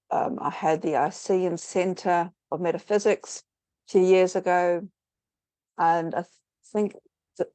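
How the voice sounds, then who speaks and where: A woman's voice: lowish voice, dark tone, no room sound. A middle-aged woman, a guest in an online interview, rambling thoughtfully with many fillers and restarts. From New Zealand. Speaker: interview guest, spiritual teacher.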